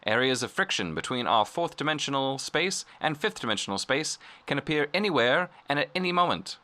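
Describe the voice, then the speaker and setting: A man playing an earnest old-time radio pitchman, delivering a polished, salesmanlike vintage advertisement. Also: sing-song, brisk, bright, forceful tone.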